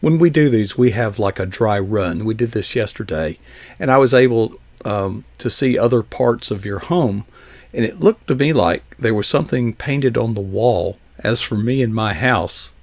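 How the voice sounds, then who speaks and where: A man's voice: fairly low pitch, animated, moderate pace, a little mellow, no room sound. An older man hosting a live online interview, folksy and encouraging, asking rambling questions. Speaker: show host.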